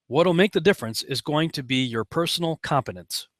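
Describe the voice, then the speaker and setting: A man's voice: fairly high pitch, expressive, brisk, a bit bright, no echo, clean studio sound. A man with an American accent giving informal commentary as host, sometimes reading aloud.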